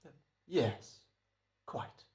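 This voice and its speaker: A man's voice: drawn-out words, darkish tone. A man playing a smug, careful hired killer, speaking with hesitant, mannered politeness in a radio drama. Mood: wary, smug. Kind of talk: old-time radio drama acting.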